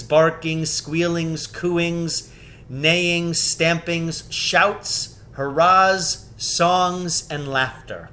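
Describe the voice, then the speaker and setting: A man reading a children's novel aloud as scripted narration, with measured, expressive phrasing. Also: fairly high pitch. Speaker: storybook reader.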